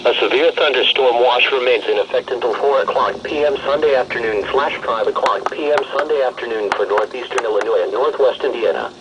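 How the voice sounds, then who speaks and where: A man's voice: mid-range voice, brisk, hardly any pauses. A man's synthetic, formal and impersonal voice reading a scripted weather warning bulletin on the radio. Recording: noisy, muffled weather radio broadcast.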